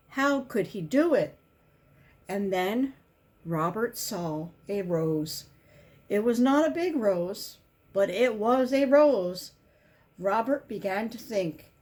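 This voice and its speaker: A woman reading a children's picture book aloud as a volunteer, in simple, deliberate storytelling with emphasized key words. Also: mid-range voice.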